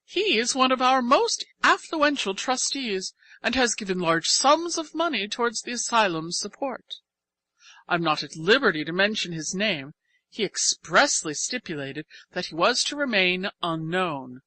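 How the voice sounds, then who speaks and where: A woman voicing a self-important orphanage matron, formal and measured, in an audiobook. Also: mid-range voice, very animated, bright, forceful tone, voice pushed up, full character voice. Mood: pompous, measured. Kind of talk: audiobook character voice.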